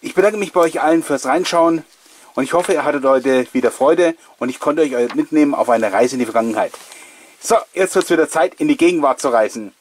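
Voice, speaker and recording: A man's voice: a little high, brisk. A middle-aged man presents a retro show for collectors. He speaks straight to his viewers in an effusive, chatty way. There is some noise behind his voice. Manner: effusive, addressing viewers directly. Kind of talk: enthusiastic chatty presenting to camera.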